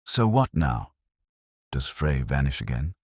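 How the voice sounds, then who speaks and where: A man voicing a detective's earnest, astonished male companion in an audiobook, in a hushed, questioning manner. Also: rumbling low, very animated, measured pace, no room sound, studio quality, full character voice. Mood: earnest, troubled.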